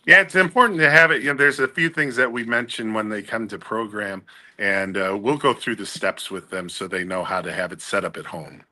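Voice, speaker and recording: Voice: medium pitch, fairly quick, sharp tone. A person speaking casually and briefly in a live news segment.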